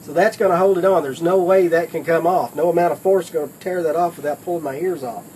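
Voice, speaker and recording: A man's voice: fairly high pitch, fairly even intonation, fast, darkish tone, slight background noise, rough recording. A man giving a casual how-to demonstration in a folksy, plain-spoken way, like a product demonstrator explaining things step by step.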